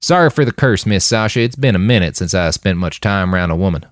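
A man voicing a gruff, pious older Texan militia driver with folksy Southern speech in an audiobook. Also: deep voice, expressive, fairly quick, dry recording, studio quality. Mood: polite, apologetic. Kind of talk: audiobook character voice.

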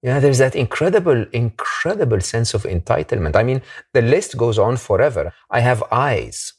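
A man's voice: medium pitch, big pitch swings, normal speed, slightly rough. A man hosting a podcast interview. He chats casually, making his points with emphatic, enthusiastic remarks and informal asides. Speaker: podcast host.

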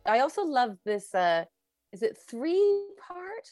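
A woman's voice: sits high, sing-song, slow pace, music in the background. A woman hosting an interview podcast, talking in an enthusiastic, effusive way. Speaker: podcast host. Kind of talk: conversational interview.

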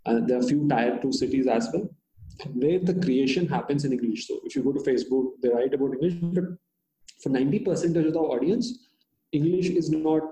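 An Indian-accented man, a native Hindi speaker, answers interview questions in a halting way, full of restarts and fillers. His voice is mellow and dark, and the room gives it a noticeable echo. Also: mid-range voice. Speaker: startup founder, guest. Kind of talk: interview conversation.

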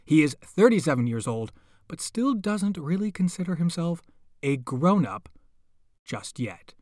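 A man's voice: fairly high pitch, big pitch swings, a little slow, a little mellow, dry recording, studio quality. A man hosting a podcast, reading a scripted introduction. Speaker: podcast host.

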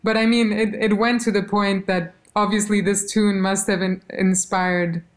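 Woman, musician, interview guest, German native speaker, animated, quotes people, mid pitch. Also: moderate pace. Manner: animated storytelling, quotes others, laughs. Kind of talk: casual interview conversation.

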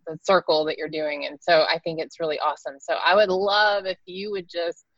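Woman host on a video call, low and gravelly, chatty and easy. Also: fairly even intonation, fairly quick, no room sound, muffled recording. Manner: chatty, laughs often, soft-spoken. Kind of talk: casual chat, opening with a guided meditation.

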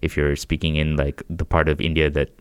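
A man's voice: extremely low pitch, completely flat delivery, brisk, smooth, no room sound. A young American man, a comedian, chatting casually with lots of restarts and fillers. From United States. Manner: rambling, restarts, frequent "like". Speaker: comedian guest. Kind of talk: casual podcast chat.

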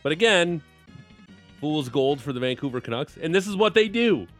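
A man's voice: fairly high pitch, lively intonation, moderate pace. A man co-hosting a sports show, giving sarcastic, ranting opinions on hockey. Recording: music playing behind the voice. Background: music bed.

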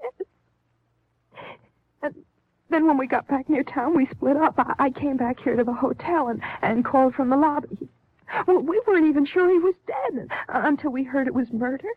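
A woman playing a frightened young bride with a high voice, halting and anxious as she speaks. She is earnest and guarded, acted in old radio drama manner. The sound is thin and tinny, like a vintage broadcast. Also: no room sound, lightly acted. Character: nervous, secretive young newlywed woman, frightened but earnest. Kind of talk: 1950s radio drama acting. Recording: tinny, band-limited 1950s radio recording.

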